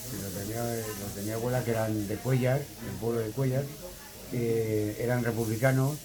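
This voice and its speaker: A man giving spontaneous family testimony, haltingly, repeating words as he searches for them. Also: medium pitch, fairly even intonation, a crowd in the background, rough recording.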